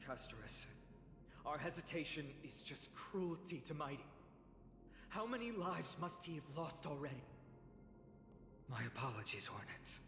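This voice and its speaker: A man's voice: fairly high pitch, animated, measured pace, creaky, pauses often. A man playing a resolute, earnest young warrior in a video game, speaking with grave, formal diction. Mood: regretful. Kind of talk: dramatic video game voice acting. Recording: echoey reverberant hall, music underneath. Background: background music.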